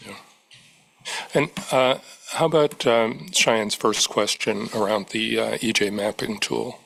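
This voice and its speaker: Slow, gravelly man chairing a meeting. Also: fairly low pitch, fairly even intonation, fairly bright tone. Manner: brief, prompting questions. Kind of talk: public meeting remarks.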